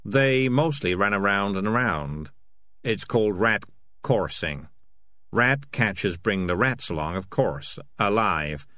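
A man's voice: on the low side, lively intonation, takes it slowly, sharp tone, exaggerated voice. A man voicing a shifty, self-serving male cat in a storybook, hesitant and evasive with stammered excuses. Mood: uneasy.

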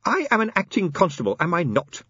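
A man's voice: high-pitched, wildly swooping pitch, brisk, pushed and bright, husky, no echo, muffled recording, pitched above the performer's own voice, exaggerated voice. A man voicing a small, prim, earnest inspector with formal, emphatic speech, frightened but determined, in an audiobook. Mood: indignant, resolute.

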